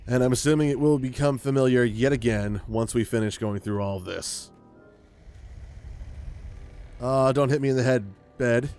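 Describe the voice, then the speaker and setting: Male gaming host, mid-pitched, chatty, rambling, casual play-along commentary, faint music behind. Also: normal speed, long pauses, a little mellow. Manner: chatty, rambling, thinking aloud.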